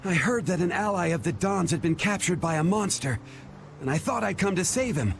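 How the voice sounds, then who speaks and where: A man's voice: sits high, lively intonation, brisk, creaky, a little hiss or hum. A man playing a rash, defensive young guild heir in a video game. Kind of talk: video game dub acting.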